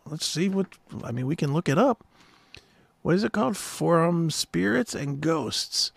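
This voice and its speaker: A man's voice: a little high, animated, unhurried, creaky, breathy edge, no room sound. A man hosting a talk show in a casual chat, with irreverent banter and loose swearing.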